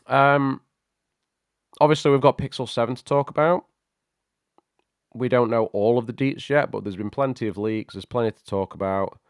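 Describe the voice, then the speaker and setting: A man hosting a casual tech livestream, chatty and greeting viewers by name, his voice a little croaky from a cold. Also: mid-range voice, moderate pace, long pauses, dry recording, clean studio sound. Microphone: studio microphone.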